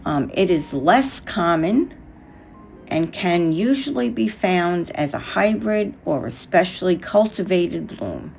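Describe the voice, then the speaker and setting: Lady doing craft video voiceover, kinda low voice w some creak, reflective, faint music behind. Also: lively intonation, takes it slowly, darkish tone. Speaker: craft tutorial host. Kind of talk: scripted narration over a craft video.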